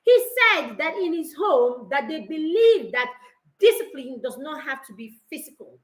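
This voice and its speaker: Middle-aged woman, parenting mentor, high and bright, emphatic repeats, echoey room. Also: big pitch swings, unhurried. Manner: emphatic, repeats key phrases, asks rhetorical questions. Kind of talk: live talk to parents.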